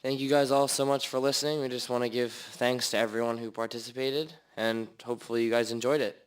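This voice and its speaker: Young male presenter, smooth even voice. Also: medium pitch, fast. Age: teenager or young adult. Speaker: radio presenter. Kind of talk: informal sign-off announcement.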